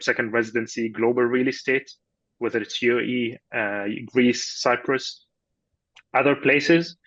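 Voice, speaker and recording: A man's voice: medium pitch, a bit bright, no room sound, muffled recording. A man from Egypt giving a thank-you speech on an online business call, hesitant and full of fillers and repeated words. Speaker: guest speaker. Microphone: video call.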